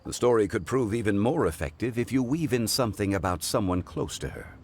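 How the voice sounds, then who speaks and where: Game sage: dignified consultant, courteous and measured, deep voice with plenty of inflection. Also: male voice, animated, heavy background noise. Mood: calm, advisory. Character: dignified, wise, composed gentleman consultant, once mighty. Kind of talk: video game cutscene acting. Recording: background music.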